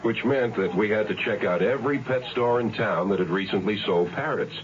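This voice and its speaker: An actor playing a genial police detective in a radio drama, explaining things. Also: male voice, on the low side, brisk, close to an everyday voice. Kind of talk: 1970s radio drama acting. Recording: dull radio drama recording.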